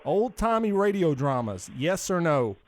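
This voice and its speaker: A man co-hosting a podcast in loud, casual banter, with a pushy, badgering way of talking. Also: a little high, big pitch swings, a little slow. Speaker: podcast co-host making prank cold calls.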